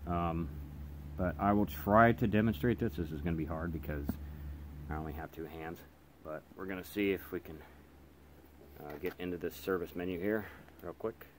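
Deep voiced guy doing an offhand gear demo, kinda halting, noisy background. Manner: hesitant, halting delivery with trailing phrases. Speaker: radio hobbyist reviewer. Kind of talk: casual unscripted product demonstration. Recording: clear background noise in room.

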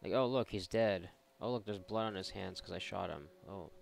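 A man's voice: low-pitched, moderate pace, gravelly. A man giving casual, rambling and sarcastic let's play commentary, swearing freely as he goes. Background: video game ambience.

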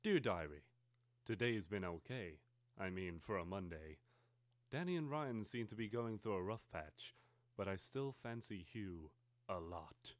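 A man voicing a melodramatic twelve-year-old schoolgirl confiding in her diary, theatrical and overwrought, swinging between hushed and exclaiming. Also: deep voice, big pitch swings, a little slow, dry recording. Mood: moody, worried. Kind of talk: theatrical dramatic reading of a teenage diary.

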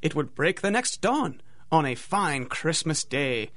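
A man's voice: a little high, sing-song, a little slow, fairly bright tone. A man narrating a radio drama in a theatrical, dramatic storytelling manner.

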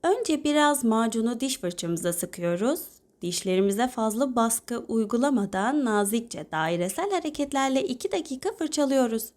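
Woman playing a calm, patient cartoon crocodile mother. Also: a little high, normal speed, few pauses, voice pushed down, natural voice. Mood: patient, instructive. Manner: calm, instructive. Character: patient, gentle crocodile mother teaching her child. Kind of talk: children's cartoon voice acting.

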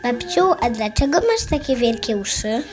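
A woman's voice: a little high, big pitch swings, normal speed. A young female performer playing a trusting, puzzled little girl in a fairy-tale audio drama. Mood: innocent, puzzled.

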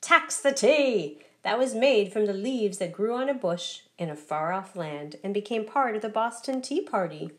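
A woman's voice: medium pitch, expressive, moderate pace, few pauses, a little mellow. Woman reading a children's picture book aloud. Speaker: storybook reader. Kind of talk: children's read-aloud, scripted narration.

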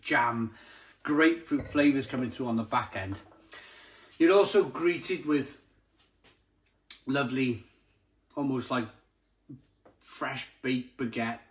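A man presents an informal tasting review in a medium-pitched voice. He speaks off the cuff, straight to the viewer, with open enthusiasm. He likes to linger on descriptive words and repeat them as he savours what he is describing. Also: a bit bright. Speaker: beer review channel host. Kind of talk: casual unscripted tasting review to camera.

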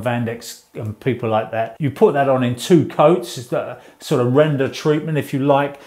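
A man's voice: mid-range voice, darkish tone. A middle-aged man giving an informal explanation, conversational in tone and repeating words for emphasis. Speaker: presenter of a home-building advice show. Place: small indoor room, in front of a whiteboard.

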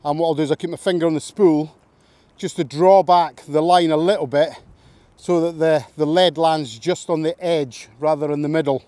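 Male angler, fairly high but very mellow voice, casual practical demonstration, dry close sound. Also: fairly even intonation, moderate pace, dry recording. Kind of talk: casual hands-on explanation. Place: outdoors at a fishing lake.

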